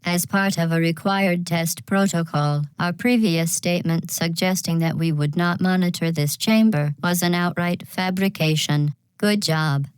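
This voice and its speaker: A woman playing a polite, coldly sinister artificial intelligence in a video game, giving measured, deadpan clinical announcements through synthetic robotic processing. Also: lowish voice, smooth, dry recording, poor audio.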